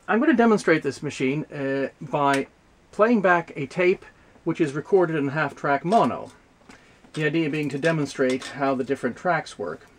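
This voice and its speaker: A man giving an unscripted explanatory demonstration, speaking haltingly with frequent hesitations and self-corrections. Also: fairly high pitch. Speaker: presenter demonstrating vintage audio gear.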